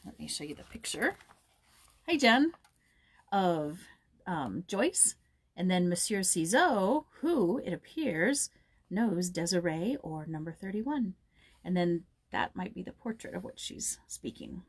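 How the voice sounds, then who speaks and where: A woman narrating a storybook aloud in an expressive, dramatic reading style. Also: fairly low pitch, unhurried, husky. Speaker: story reader, voice actor.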